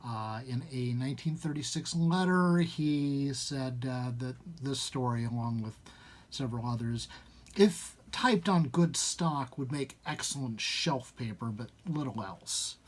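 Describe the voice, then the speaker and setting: A man's voice: medium pitch, a little slow. A man with a mid-range voice gives a live reading. He speaks as a literary reader, in the style of an informal introduction or a told story.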